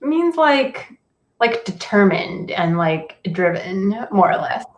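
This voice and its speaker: A woman whose first language is Cantonese speaks as a podcast guest in a relaxed, chatty way, dropping "like" and "you know" often. Her voice sits at an average pitch for a woman. The sound is roomy and echoey. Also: takes it slowly. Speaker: podcast guest, career coach. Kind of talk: casual interview conversation.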